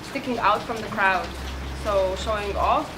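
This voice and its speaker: A woman's voice: medium pitch, measured pace, noisy background. A woman answering a street interview, thinking aloud and searching for words. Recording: distant from the mic, loud crowd behind.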